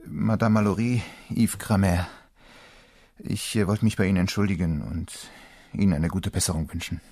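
An actor playing an apologetic, awkward space engineer in a radio play, speaking with halting politeness. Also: male voice, deep voice, fairly even intonation, darkish tone.

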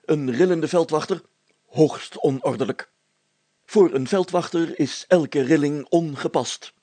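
A stuffy old-fashioned mayor from a storybook, way up high n scratchy, formal, fussy about safety. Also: male voice, measured pace, warm tone, dry recording. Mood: pompous, grave. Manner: pompous, formal, stately wording. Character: pompous, solemn, overcautious male village mayor.

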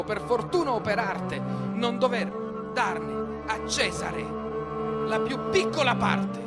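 A man's voice: very high-pitched, exaggerated voice. An actor playing a proud, hot-tempered swordsman-poet, declaiming theatrical verse with insistent rhetorical questions in a radio play. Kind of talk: stage-style radio theatre verse monologue. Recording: loud music under the voice. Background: music.

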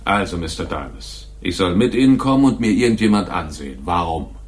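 A man's voice: low-pitched, animated. An actor playing a big, tough, shady man in a noir radio play, skeptical and sardonic as he bargains. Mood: wary. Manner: sardonic, wary, deliberate. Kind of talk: 1980s German radio play, noir dialogue.

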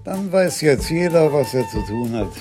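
An actor playing an authoritative police commissioner in a radio crime drama, speaking in a measured, commanding way. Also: male voice, fairly high pitch, huge pitch swings, music in the background. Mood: decisive.

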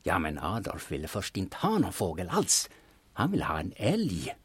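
An actor playing a cynical, blunt, secretive grave robber in an audio drama, telling things in a dry manner. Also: male voice, deep voice, wildly swooping pitch, husky, dry recording, heavily acted. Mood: intense storytelling.

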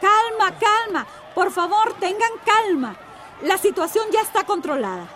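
Radio drama: actress playing firm female official, very high voice, declamatory, crowd murmuring behind. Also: lively intonation, unhurried, fairly bright tone, a little hiss or hum, exaggerated voice. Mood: authoritative, calming. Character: female official calming a crowd, announcing measures firmly.

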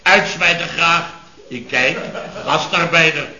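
Blustering, self-important boss, echoey old radio. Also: male voice, a little high, takes it slowly, crowd noise. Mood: indignant, blustering. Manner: exasperated, pompous, emphatic. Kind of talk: 1970s Dutch radio comedy acting. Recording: muffled, echoey old radio recording with audience.

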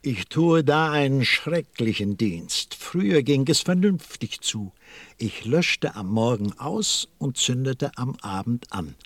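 An actor playing a weary, dutiful lamplighter in a German audio drama, with a resigned, grumbling, literal-minded manner. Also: male voice, mid-range voice, breathy edge, dry recording, exaggerated voice.

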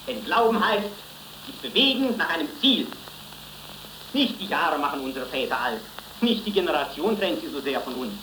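An actress playing a fervent young orator rallying youth in a 1930s radio play, declaiming solemnly and emphatically. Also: female voice, low-pitched. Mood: rousing, then stern, measured. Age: young adult. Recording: echoing, faintly noisy 1930s radio recording.

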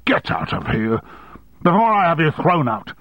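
A man playing a blustering, domineering, short-tempered male boss who shouts threats, on a dry, slightly dull recording. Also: a little high, big pitch swings, moderate pace, no room sound, pitched above the performer's own voice. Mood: angry.

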